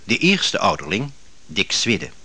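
Formal radio announcer guy, low bright voice, measured reading. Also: huge pitch swings, breathy edge.

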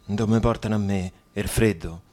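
A man's voice: lowish voice, fairly even intonation, normal speed, close to an everyday voice. A man acting a coaxing, cheeky street hustler with a Roman accent in a radio drama. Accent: Roman Italian. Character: wheedling street hustler bargaining for a coat. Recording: loud music under the voice.

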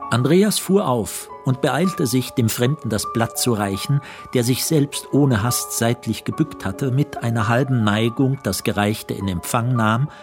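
A man narrates a literary radio drama from a script. His voice sits at a medium pitch. Music plays faintly behind the narration. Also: measured pace, few pauses.

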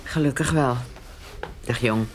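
Slow-spoken older farm mother. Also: very deep voice, noisy background. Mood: concerned. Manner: unhurried, concerned questioning. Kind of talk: radio drama dialogue.

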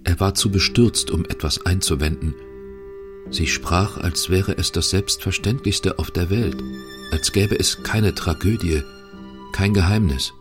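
A man's voice: low voice, a little slow, over music. A man reading scripted narration as the storyteller of a German audio drama.

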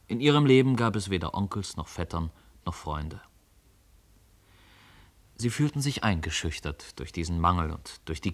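A man narrating a literary radio play as an expressive, measured storyteller. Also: sits low.

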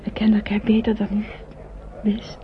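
A woman acting an earnest, devoted young woman in an old radio drama, with soft, halting, often half-whispered delivery on a muffled recording. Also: medium pitch, monotone, slight background noise, natural voice. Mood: shy confession.

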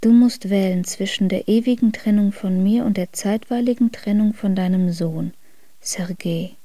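A woman reading historical letters in a radio drama, in a formal, measured way. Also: medium pitch, dark tone, no room sound, close to an everyday voice. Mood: tender, sorrowful. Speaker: letter reader.

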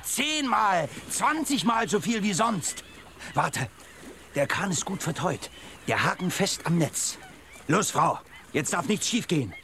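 A man playing a gruff, short-tempered fisherman in a radio play, barking orders and curses. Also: high voice, big pitch swings, measured pace, sharp tone, slight background noise, full character voice. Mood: excited, bossy. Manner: barking orders, cursing, heaving work calls. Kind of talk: German radio drama acting.

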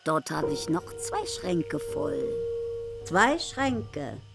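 A woman's voice: low voice, big pitch swings, extremely slow, creaky, over loud music, full character voice. An actress playing a haughty, suspicious village innkeeper, proud of her clothes, in a German radio drama with an imperious, probing manner. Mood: proud, showing off.

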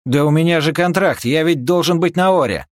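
Мужчина озвучивает суетливого, говорливого корабельного инженера в аудиокниге, взволнованно и по-разговорному. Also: male voice, mid-range voice, sing-song, normal speed, no echo, studio quality, voice pushed up, close to an everyday voice. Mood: flustered. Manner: agitated, wheedling, colloquial. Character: fussy, haggling male ship engineer, excitable and talkative.

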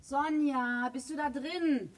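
An actress playing a middle-aged working-class mother, gravelly, nagging and disappointed, in a radio play. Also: high voice, fairly even intonation, slow, background noise. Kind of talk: radio drama acting.

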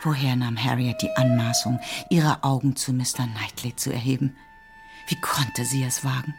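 Low-voiced woman narrating a literary radio play. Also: fairly even intonation, normal speed. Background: music.

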